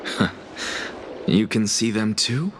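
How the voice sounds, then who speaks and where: A man's voice: lowish voice, animated, slow pace, noisy background. A man voicing a proud, stern scholar and teacher in a video game, with an imperious, cool and theatrical manner. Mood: wary. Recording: music behind the voice.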